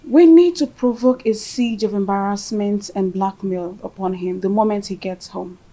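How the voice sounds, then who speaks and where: A woman's voice: mid-range voice, moderate pace, mellow, lightly acted, poor audio. Woman acting a cool, self-assured schemer. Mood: cool, scheming. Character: scheming woman coolly plotting to ruin a marriage. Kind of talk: film drama dialogue.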